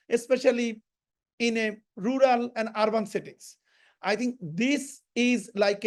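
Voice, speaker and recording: Man, professor on a panel, halting, restarts often. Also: extremely high pitch. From Bangladesh. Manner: halting, with frequent restarts and fillers. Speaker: professor and health researcher. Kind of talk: panel discussion.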